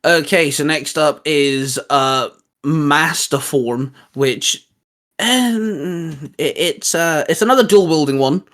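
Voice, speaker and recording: A man's voice: a little high, takes it slowly, a bit bright, faint music behind. A man co-hosting a casual geek chat, talking in a hesitant, rambling, informal way with bits of slang. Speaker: co-host of a geek culture show. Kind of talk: casual chat, reviewing video game designs.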